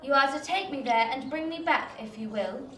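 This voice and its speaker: An actress playing a proud, cold young lady in a radio play, with a haughty, measured, formal delivery. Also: high-pitched, expressive, a bit bright, slight background noise. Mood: cool. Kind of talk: theatrical radio play acting. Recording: echoing room, distant from mic.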